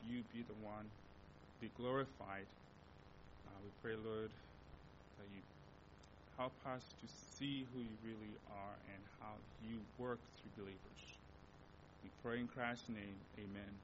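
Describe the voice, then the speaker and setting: Earnest Congolese-accented young preacher, lo-fi. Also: male voice. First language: Lingala. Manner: earnest, halting, often repeats and corrects himself. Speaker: missionary guest preacher. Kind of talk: sermon with scripture reading. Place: church sanctuary. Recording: dull lo-fi recording with faint rumble.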